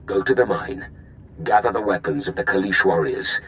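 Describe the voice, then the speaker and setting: A man's voice: low voice, moderate pace, fairly bright tone, a little hiss or hum, rough recording. A man playing a cool, defiant Imperial commander in a video game, firm, controlled and formal. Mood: firm, resolute. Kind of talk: video game cutscene acting.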